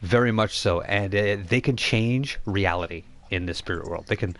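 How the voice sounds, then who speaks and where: A man hosting and narrating a tabletop role-playing game as game master, explaining things in an informal, halting way. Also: fairly low pitch, fairly bright tone. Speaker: game master and stream host.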